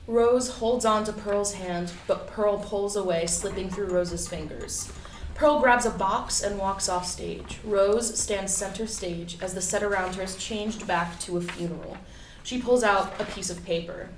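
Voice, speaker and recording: A woman's voice: medium pitch, unhurried. A woman reading stage directions as scripted narration at a staged play reading. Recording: distant, echoey room, faint noise.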